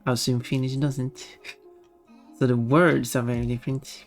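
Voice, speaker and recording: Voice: mid-range voice, level tone, moderate pace. Rambling casual streamer over background music. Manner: rambling, self-correcting, giggly asides. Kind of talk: casual livestream chat. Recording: music behind the voice.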